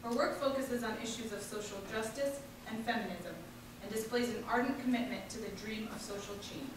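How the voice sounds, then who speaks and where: A woman's voice: on the high side, fairly quick. Woman reading out an intro at an event, really echoey, noisy background. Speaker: event presenter. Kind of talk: scripted introduction read aloud. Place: large echoey indoor hall, far microphone. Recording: very echoey distant hall, clear noise.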